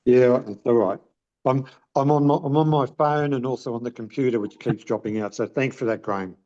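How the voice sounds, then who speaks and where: A man speaking casually and haltingly as a participant on a roomy-sounding online call. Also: mid-range voice, mellow, dull audio. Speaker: webinar participant. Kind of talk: casual question from the audience.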